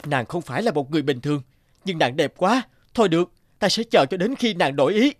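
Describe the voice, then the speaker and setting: A man's voice: fairly high pitch, expressive, quick pace, no echo, clean studio sound, close to an everyday voice. A male actor playing a proud, self-assured young emperor, insistent in courtship, in a children's cartoon. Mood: resigned, smitten. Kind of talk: Vietnamese children's cartoon dubbing.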